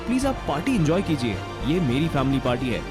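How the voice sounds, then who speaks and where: Firm, higher-pitched young man, acted out. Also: sing-song, fast talker. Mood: gracious. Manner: polite but firm. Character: dignified, firm, put-upon young son-in-law. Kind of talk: Hindi audio drama acting. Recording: loud music bed behind voice.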